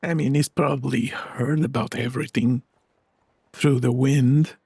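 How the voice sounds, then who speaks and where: A man's voice: medium pitch, steady tone, measured pace, gravelly, darkish tone, a little hiss or hum. A man giving casual, laid-back and dry reaction commentary as he plays a game. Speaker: gaming YouTuber.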